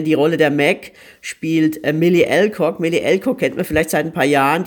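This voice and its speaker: Man, podcast co-host, slightly high voice, casual review style, halting delivery with repeated words. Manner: hesitant, searching for words, repeating words. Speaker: podcast co-host recommending series.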